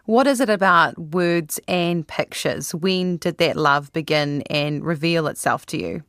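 A New woman hosts an interview with a low, steady voice. Her questions are measured and prepared. The sound is dry and close, with no room echo. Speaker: radio interviewer.

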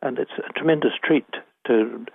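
A man's voice: medium pitch, normal speed, creaky, slightly rough, no echo, phone-quality sound. A man in his eighties with a Scottish accent, a poet reading his work and talking about it in an interview. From Northern Ireland. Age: 80s.

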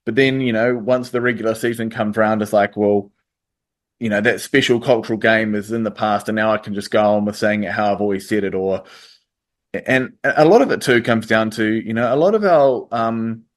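A man, answering interview questions in a hesitant way with many pauses and restarts. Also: on the low side. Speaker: interview guest. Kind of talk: radio interview.

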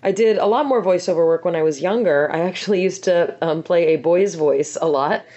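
A woman with a rough, scratchy voice answering interview questions as a voice actor, chatty and full of fillers. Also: fairly low pitch, a little fast, warm tone, dull audio.

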